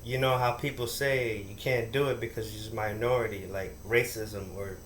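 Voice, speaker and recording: A man's voice: on the low side, steady tone, normal speed, a bit bright, echoey room, slight background noise. A male interviewer asks hesitant questions that trail off.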